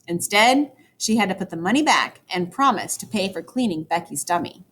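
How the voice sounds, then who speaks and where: A woman teacher reading a children's story aloud, with expressive, dramatic emphasis for young listeners. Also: medium pitch, moderate pace, slightly rough. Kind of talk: animated children's book read-aloud.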